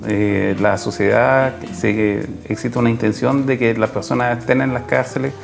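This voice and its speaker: A Chilean man gives interview answers in a mid-pitched voice full of gravelly creak. He explains haltingly, rephrasing as he goes, over clearly audible background music. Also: steady tone. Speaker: social worker and program coordinator, guest.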